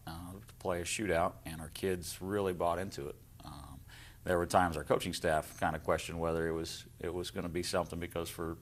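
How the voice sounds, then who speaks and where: A man speaking as a head basketball coach, answering questions in a conversational interview. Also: low voice.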